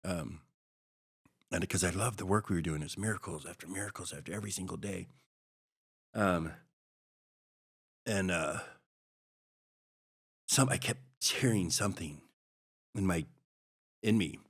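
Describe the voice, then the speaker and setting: A middle-aged man from Hawaii, a podcast guest, telling a personal story in a halting, reflective way with many restarts. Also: low voice, husky.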